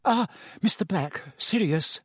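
A man's voice: sits high, lively intonation, unhurried, breathy edge, no room sound, clean studio sound, voice pushed up, heavily acted. A man voicing a timid, earnest schoolgirl in an audiobook, polite and hesitant as she questions adults.

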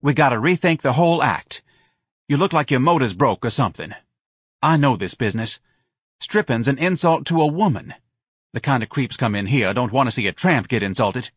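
A man's voice: medium pitch, lively intonation, brisk, slightly rough, no room sound, clean studio sound, voice pushed up. A man voicing a hard-nosed, scornful woman who runs a nightclub, snapping out crude orders in an audiobook. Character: hard-nosed, bossy, scornful female nightclub owner Lana.

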